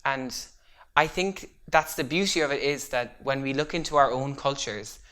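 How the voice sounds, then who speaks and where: Casting: young woman, bright tone, earnest, reflective. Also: rumbling low, moderate pace. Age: young adult. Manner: earnest, thoughtful. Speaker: youth theatre member. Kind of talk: reflective documentary talk.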